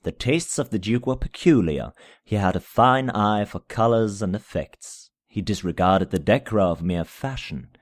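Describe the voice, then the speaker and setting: A man reading a gothic horror story aloud in a measured, solemn, theatrical manner, at times dropping to a hush. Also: lowish voice, lively intonation, dry recording, studio quality. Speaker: amateur reader of a short story. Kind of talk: dramatic scripted narration of a gothic horror tale.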